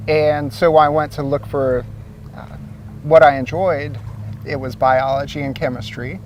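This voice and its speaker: An easygoing man with a slightly high voice explains things step by step and leans on small fillers. He is recorded outdoors by a lake, a little away from the mic, with faint noise behind him. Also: steady tone, a little slow, a little mellow, recorded from a distance, muffled recording. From Ohio. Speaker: source water protection coordinator, guest. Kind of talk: explanatory interview answers.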